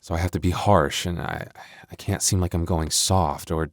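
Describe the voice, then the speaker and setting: A man's voice: sits low, fairly even intonation, moderate pace, dry recording, studio quality, natural voice. A man playing a young werewolf boyfriend, tender but protective with a tough edge, in an intimate ASMR roleplay. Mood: troubled, confiding.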